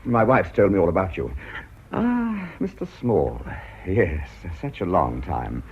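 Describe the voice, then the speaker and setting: An actor playing a mild, apologetic elderly English clergyman in a radio play, husky and hesitant, stammering courteously. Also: sits low, wildly swooping pitch, measured pace, slight background noise, exaggerated voice. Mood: flustered, apologetic. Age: older. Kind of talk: radio drama acting. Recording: dull, muffled radio recording.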